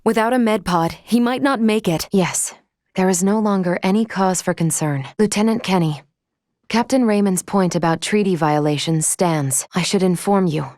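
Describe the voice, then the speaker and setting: A woman voicing a calm, logical female android in a video game, speaking in a formal, precise and measured way. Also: mid-range voice, dry recording, studio quality, natural voice. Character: calm, logical female android crew member, polite and analytical. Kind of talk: video game character voice acting.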